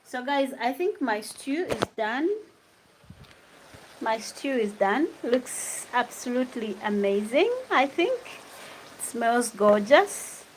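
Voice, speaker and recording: A woman's voice: fairly high pitch, lively intonation, takes it slowly, slight background noise, poor audio. A young woman with a Ugandan accent, chatting casually and laughing often as she cooks at home and reads out viewers' questions. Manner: chatty, laughs often, drifts between English and Luganda. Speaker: vlogger. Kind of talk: casual chat while cooking, answering live comments. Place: home kitchen.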